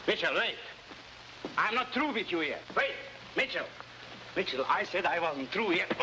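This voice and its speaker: An actor playing a smug, scheming Latin American newspaper publisher in a theatrical, oily 1950s television style. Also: male voice, high-pitched, big pitch swings, moderate pace. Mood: accusing, insistent. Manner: theatrical, oily, mock-courteous. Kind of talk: early 1950s TV adventure acting. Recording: noisy old 1950s television soundtrack.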